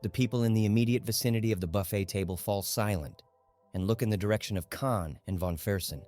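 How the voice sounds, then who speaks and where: A man's voice: on the low side, quick pace, darkish tone, soft background music. A man narrating a literary audiobook in a scripted, dramatic storytelling style.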